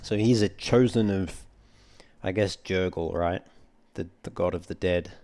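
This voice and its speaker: A man giving casual, low-key let's play commentary, musing aloud as he plays. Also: unhurried, a little mellow. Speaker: gaming video host. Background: game music.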